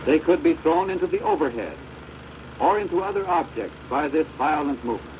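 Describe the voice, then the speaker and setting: An American man giving formal, measured instructional narration for a training film, on a deteriorated old projector soundtrack. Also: fairly high pitch, lively intonation, noisy background.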